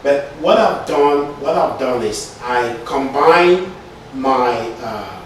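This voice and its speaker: A man's voice: medium pitch, animated, slow, a little hiss or hum. Guy from cameroon in an interview, lots of uhs and "right?", sounds far off in a really echoey room. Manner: hesitant, many fillers and restarts, frequent "right?". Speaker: IT consultant and entrepreneur. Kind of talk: interview answers.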